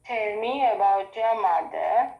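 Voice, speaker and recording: A woman's voice: mid-range voice, expressive, slow, creaky. A woman from Sri Lanka with a Sri Lankan accent, a student who hesitantly repeats each question before she answers it. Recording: dull, echoey call audio.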